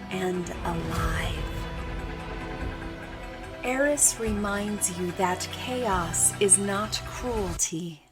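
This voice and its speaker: A woman narrating a scripted guided meditation in a hushed, deliberate manner with long pauses. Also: lowish voice.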